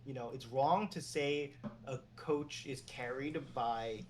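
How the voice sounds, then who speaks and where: Relaxed, rambling man from New York. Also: mid-range voice, takes it slowly. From New York City, USA. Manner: relaxed, meandering, chuckles while talking. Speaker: esports agent and former team general manager, guest. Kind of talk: casual interview conversation.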